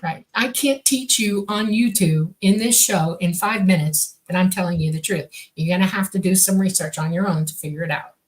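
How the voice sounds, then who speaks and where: Older lady, swedish first language, low-ish voice, chatty and rambling with lots of uh's. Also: moderate pace, hardly any pauses, rough recording. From born on a military base, raised all over. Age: 60s. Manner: rambling, many fillers and restarts. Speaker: co-host, contactee. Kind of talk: casual podcast chat.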